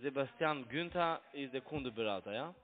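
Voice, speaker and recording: A man's voice: mid-range voice, lively intonation, normal speed. A man who grew up in Italy, a call center trading broker, talking in broken German on a phone call, pushy and mocking. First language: Italian. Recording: tinny phone line.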